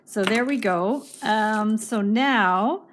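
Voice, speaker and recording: A woman's voice: medium pitch, slow pace, slight background noise. A woman hosting a casual craft livestream, chatting as she reads viewer comments, her voice starting to go. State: losing her voice.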